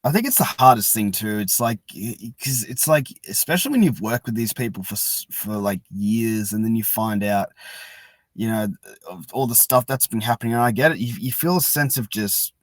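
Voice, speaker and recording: A man hosting a casual chat, talking sympathetically and haltingly, with many filler phrases as he reassures his guest. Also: on the low side, animated, no room sound. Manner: halting, sympathetic, leans on "like" and "you know". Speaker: podcast host. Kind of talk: casual supportive interview chat.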